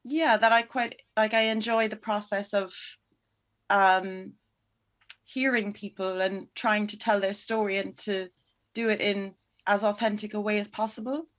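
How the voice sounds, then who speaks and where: A woman film director talks through her answers in an interview. She is thoughtful and a little hesitant, pausing and restarting as she looks for the words, with a voice of ordinary pitch. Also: fairly even intonation, fairly bright tone. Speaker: documentary film director, guest.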